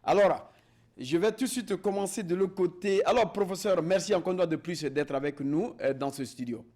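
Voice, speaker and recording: A man's voice: sits high, moderate pace, a little mellow. A man hosting a panel debate, introducing guests with many hesitations. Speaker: talk show host.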